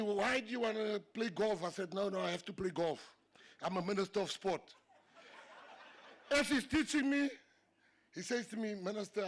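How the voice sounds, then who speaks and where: A South African man whose first language is Afrikaans, a government minister giving a humorous after-dinner speech, telling stories and laughing at his own jokes. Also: high-pitched. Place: banquet hall.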